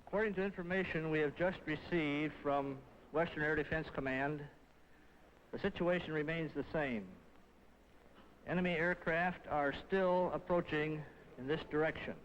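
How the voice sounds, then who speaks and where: A man's voice: a little high, steady tone, moderate pace. A man with an American accent chairs a formal briefing as a civil defense official, speaking in scripted sentences. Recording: dull, slightly noisy 1950s film sound.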